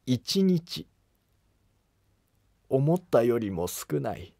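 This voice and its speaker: A man's voice: medium pitch, very animated, extremely slow, vocal fry, warm tone, no room sound, studio quality, full character voice. A man voicing a deferential, hesitant imperial prince who speaks formally and humbly in a historical drama animation. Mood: hesitant, surprised.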